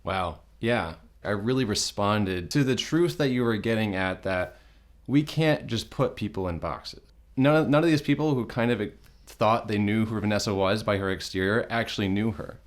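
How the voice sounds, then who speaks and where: A man hosting a studio interview show, asking measured questions in a welcoming manner. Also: lowish voice. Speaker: host.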